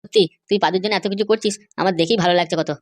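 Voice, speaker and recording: A sensible older-brother cartoon man, played by a woman, talks very quickly at a middling pitch. He sounds firm, blunt and matter-of-fact. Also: no room sound, natural voice. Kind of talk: comedy cartoon dialogue.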